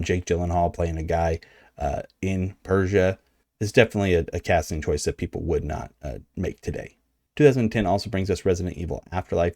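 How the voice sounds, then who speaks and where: A man's voice: low-pitched, mellow, no room sound, studio quality. An American man hosting a video essay, talking in a casual, conversational and slightly wry way. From United States. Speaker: video host.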